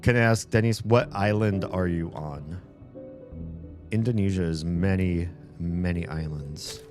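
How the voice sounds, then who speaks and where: A very deep-voiced man talks slowly and loosely to his live-stream audience, wandering from thought to thought and restarting sentences. He records alone in a room at home, and music plays clearly behind him. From United States. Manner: rambling, chatty, self-correcting. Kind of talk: casual live-stream chat.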